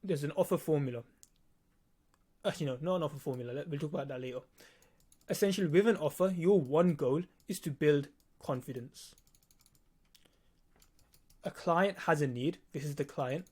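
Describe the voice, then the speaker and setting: A man's voice: on the high side, moderate pace, pauses often, a little mellow, slightly rough. A nineteen-year-old man with a lisp, explaining things to viewers in a blunt, informal way. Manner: blunt, casual, swearing, emphatic repetition. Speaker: video editor teaching on own channel.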